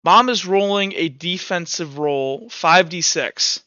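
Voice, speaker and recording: A man's voice: high-pitched, slow pace, pushed and bright, clean tone, no room sound, highs cut off. A man acting as game master, mixing casual rules talk with informal, vivid narration of combat in a tabletop roleplaying game.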